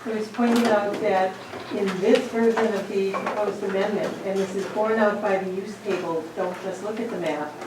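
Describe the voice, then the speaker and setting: A woman's voice: medium pitch, steady tone, moderate pace. A woman speaking formally and precisely for the applicant at a public hearing. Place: town meeting room. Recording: very echoey hall from far off with noise.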